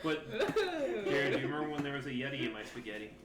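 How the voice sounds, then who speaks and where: A man's voice: medium pitch, fast, very noisy. A man playing a tabletop game, bantering casually with friends. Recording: echoey room.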